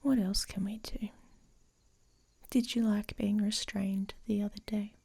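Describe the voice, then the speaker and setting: A woman playing a dominant, teasing female werewolf in an intimate ASMR audio roleplay, with a breathy, whispery voice and a commanding manner. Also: mid-range voice, level tone, a little slow, warm tone. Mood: seductive, commanding.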